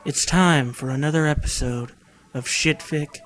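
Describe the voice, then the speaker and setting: A man's voice: on the high side, unhurried, a bit bright, soft background music, rough recording. A man co-hosting a comedy show, casually riffing with joking interjections.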